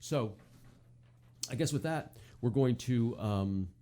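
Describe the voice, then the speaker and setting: An American man hosting a casual chat show, talking in a halting, conversational way and often repeating words. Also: medium pitch, lively intonation, warm tone. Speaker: podcast host. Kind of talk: casual chat about old-time radio.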